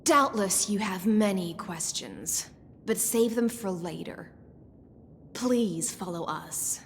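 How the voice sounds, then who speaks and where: A woman's voice: medium pitch, takes it slowly, creaky, slight background noise. A woman playing a restrained, dutiful general in a video game, formal and deferential. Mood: calm, obedient. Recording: hall reverb, music behind.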